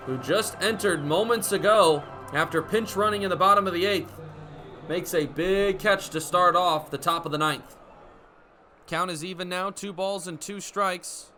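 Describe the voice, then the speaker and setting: A young American man calling baseball play-by-play for radio, with energetic, vivid running commentary. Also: high voice, unhurried, long pauses. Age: young adult. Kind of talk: live radio sports commentary. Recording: live broadcast with ballpark background noise. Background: stadium ambience.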